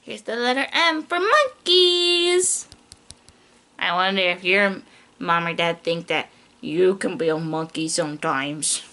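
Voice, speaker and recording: A woman's voice: lowish voice, takes it slowly. A woman teacher reading a picture book aloud to young children, talking straight to them with simple questions and playful emphasis. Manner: animated, questioning, speaks directly to small children.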